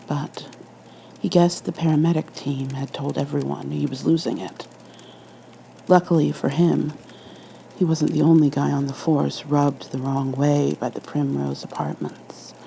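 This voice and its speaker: A woman reading a creepy story in a soft, scripted ASMR narration style. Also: low-pitched, vocal fry, a little hiss or hum, low-quality recording.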